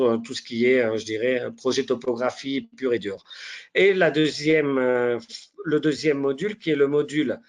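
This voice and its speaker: A man with a head cold giving a live online software demonstration, talking in a hesitant way with many fillers and restarts. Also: medium pitch. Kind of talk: live software demo walkthrough.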